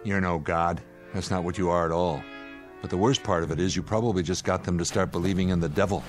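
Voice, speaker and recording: Man playing an earnest, deep-voiced astronaut. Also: steady tone, quick pace, faint music behind, natural voice. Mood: stern, indignant. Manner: earnest, emphatic. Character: principled, earnest astronaut, grave and conscience-stricken. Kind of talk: dramatic radio play acting.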